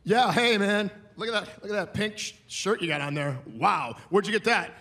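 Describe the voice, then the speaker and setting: A man's voice: high voice, moderate pace, vocal fry, bright, forceful tone, pitched above the performer's own voice, heavily acted. A man putting on the voice of a mocking comedy-club heckler, taunting someone about their shirt. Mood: sneering. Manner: taunting, sneering.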